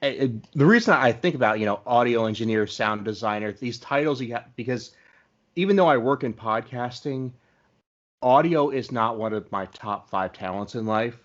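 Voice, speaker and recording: A man's voice: mid-range voice, lively intonation, moderate pace. A man hosting a podcast, chatting casually as he interviews a guest. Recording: dull, lo-fi audio.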